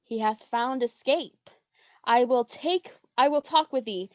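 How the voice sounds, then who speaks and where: Woman acting high-voiced lovestruck Shakespearean maiden. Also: a little slow, a little mellow, no echo, muffled recording, close to an everyday voice. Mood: eager. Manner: theatrical, earnest verse delivery. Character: lovestruck young woman confessing, coy and self-reproaching. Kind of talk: Shakespearean staged reading.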